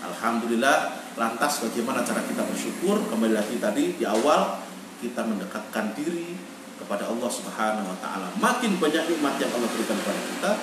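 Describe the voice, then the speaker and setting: A man preaching as an Islamic teacher, speaking in an emphatic, deliberate oratorical way and repeating phrases for emphasis. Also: medium pitch, expressive. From Indonesia. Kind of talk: online sermon on history. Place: echoey room, online video meeting. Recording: echoey, noisy room, distant online-meeting audio.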